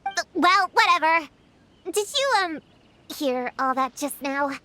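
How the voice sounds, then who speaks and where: An actress playing an excitable, scheming young witch girl in a video game, dramatic and easily flustered. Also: sits high, slow, exaggerated voice. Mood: startled, flustered. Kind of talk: animated video game voice acting.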